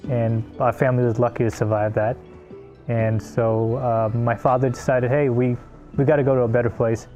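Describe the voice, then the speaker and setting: A man in his thirties from South Africa recalls his past in a documentary interview. His voice is mellow and dark. He speaks thoughtfully and hesitantly, with many fillers, as soft music plays underneath. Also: mid-range voice. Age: 30s. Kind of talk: reflective personal storytelling.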